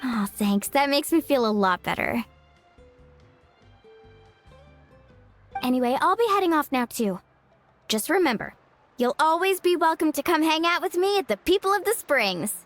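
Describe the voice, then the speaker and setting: A woman voicing a cheerful young woman who hosts a party in a video game, breezy and lively. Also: high-pitched, moderate pace, soft background music. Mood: relieved. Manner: breezy, lively, warm toward friends.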